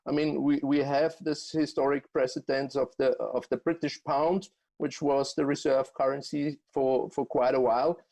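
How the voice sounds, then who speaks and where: A man from Austria with an Austrian accent, answering interview questions as a guest economist in a halting way, full of fillers and restarts. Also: mid-range voice, steady tone, creaky, warm tone. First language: German.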